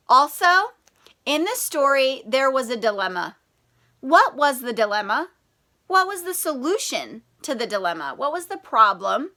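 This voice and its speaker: A woman's voice: high-pitched, bright, forceful tone. A woman who teaches primary school, reading aloud and talking directly to children with prompting questions.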